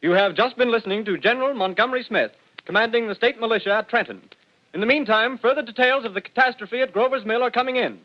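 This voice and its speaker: A man's voice: very high voice, quick pace. A man playing a grave 1930s newsreader. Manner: grave, formal news reading. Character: grave male radio newsreader. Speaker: announcer. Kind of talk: 1930s radio drama acting. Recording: dull old radio broadcast.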